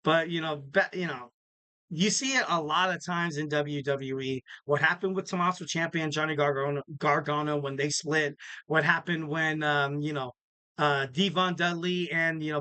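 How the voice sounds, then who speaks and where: A man with a bright, forceful, slightly raspy voice, a little higher than most men. He hosts a solo wrestling podcast, talking loosely and upbeat with lots of fillers. The sound is dry and close. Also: no echo. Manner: rambling, filler-heavy, upbeat promo talk. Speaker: podcast host. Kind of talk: casual solo wrestling preview.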